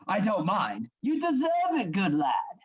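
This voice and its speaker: A man's voice: high-pitched, huge pitch swings, slow, slightly rough, full character voice. A man acting in a radio play as a domineering, scheming Victorian anatomy assistant, with commanding, formal, theatrical speech. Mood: coaxing.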